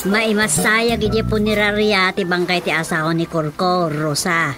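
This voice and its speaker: A woman's voice: fairly low pitch, level tone, a little slow, close to an everyday voice. An actress playing a chatty, laughing village mother with an Ilocano accent in a radio drama. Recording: music under the voice.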